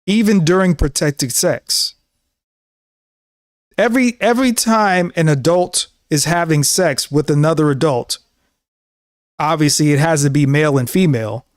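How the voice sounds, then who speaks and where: A man's voice: fairly high pitch, measured pace, long pauses, no echo, studio quality. A man in his thirties giving an earnest, preachy talk to camera as a show host, often repeating himself. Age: 30s. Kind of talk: casual monologue to camera.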